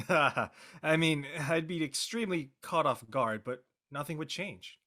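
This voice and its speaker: A man plays a loyal, excitable high school jock in a naturalistic radio play. His voice is bright and forceful and swings widely in pitch. He talks in slangy exclamations. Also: takes it slowly, heavily acted. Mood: puzzled, sincere. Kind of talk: naturalistic dialogue in a live-streamed audio play.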